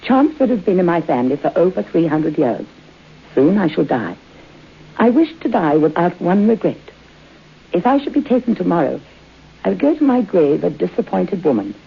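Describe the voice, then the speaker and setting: Haunted-house matriarch, old and imperious, formal theatrical phrasing, very varied intonation, dull vintage radio recording. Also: female voice, lowish voice, big pitch swings, normal speed, vocal fry, breathy edge, full character voice. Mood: solemn. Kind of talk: old-time radio horror drama acting.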